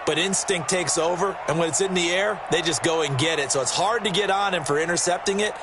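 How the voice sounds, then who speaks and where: A man's voice: high voice, a little fast, crowd noise, noisy background. A man with an American accent playing a football video game's color commentator, explaining things in a conversational way. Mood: explanatory. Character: knowing male football analyst in the booth.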